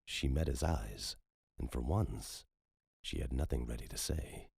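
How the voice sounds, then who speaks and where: A man's voice: extremely low pitch, expressive, a little slow, gravelly, darkish tone, husky, dry recording, studio quality. A man narrating scripted horror fiction as a storyteller.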